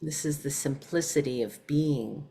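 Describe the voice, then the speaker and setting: A woman leading a guided meditation, speaking in short, measured phrases with pauses between them. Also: low voice, mellow. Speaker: meditation teacher.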